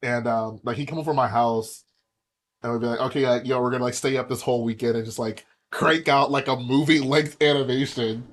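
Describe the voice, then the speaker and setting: A man's voice: mid-range voice, a little fast. A young man hosting a livestream, chatting casually and rambling with lots of fillers while he builds. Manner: relaxed, rambling with many "like" fillers. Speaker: livestream host. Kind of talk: casual chat while building Lego sets.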